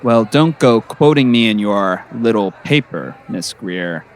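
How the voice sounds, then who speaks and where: Man playing a measured, admonishing school principal. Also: lowish voice, expressive. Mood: stern, cautioning. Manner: admonishing, formal. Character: measured middle-aged male school principal, paternal, cautioning. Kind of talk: scripted audio drama acting.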